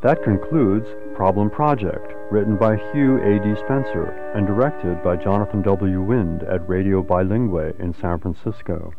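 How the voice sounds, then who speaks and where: A male radio announcer reads scripted credits in a low voice. The sound is narrow and phone-like, and music plays prominently behind him. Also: unhurried, few pauses.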